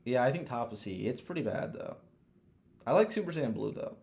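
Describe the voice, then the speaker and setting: A man talking casually as a podcast host, blunt and quick to swear as he gives his opinions. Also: medium pitch, lively intonation, gravelly, darkish tone. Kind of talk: casual chat, ranking films.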